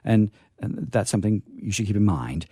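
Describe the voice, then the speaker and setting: A man's voice: lowish voice, expressive, normal speed, warm tone, husky, dry recording, clean studio sound. A man hosting an informal live talk, hesitant and repeating his phrases, with playfully formal turns. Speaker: library staffer hosting a discussion.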